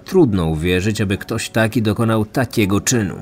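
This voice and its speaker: A man's voice: fairly low pitch, moderate pace. A man narrating a scripted true crime story as the host of a podcast. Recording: music behind the voice. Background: background music.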